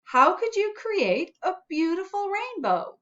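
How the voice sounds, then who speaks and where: A woman's voice: extremely high pitch, big pitch swings, measured pace, a bit bright, highs cut off, pitched above the performer's own voice, heavily acted. A woman voicing a friendly, encouraging little spot character from a children's storybook. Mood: upbeat, encouraging.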